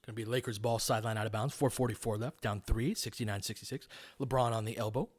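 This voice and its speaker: A man's voice: lowish voice, steady tone, fast. A man calling live basketball play-by-play in a casual, conversational, analytical way. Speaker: play-by-play host.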